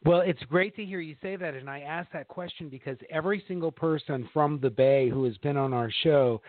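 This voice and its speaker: A man's voice: fairly high pitch, brisk, no room sound. A man co-hosting a casual talk show, joking and talking over his partner. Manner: warm, joking, talks over others. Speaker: co-host. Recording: dry tinny phone line.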